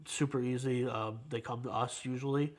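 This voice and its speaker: A male fire officer gives casual interview answers in a medium-pitched voice. His delivery is plain-spoken and informal. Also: fairly even intonation, moderate pace. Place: firehouse kitchen.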